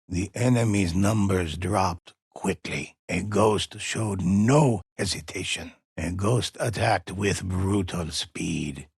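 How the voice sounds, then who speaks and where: An actor playing a grave old male storyteller in a video game, narrating warrior legends in a solemn, measured, husky voice. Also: low-pitched, dry recording, studio quality, heavily acted. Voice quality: husky, raspy. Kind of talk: dramatic scripted storytelling narration.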